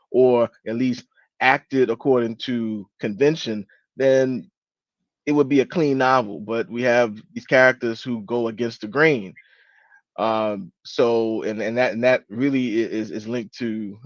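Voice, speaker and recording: A man giving his thoughts as a book club panellist, reflective and halting, often restarting his words. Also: mid-range voice, no room sound. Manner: halting, repeats word beginnings. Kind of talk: reflective literary discussion. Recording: dull, muffled lo-fi audio.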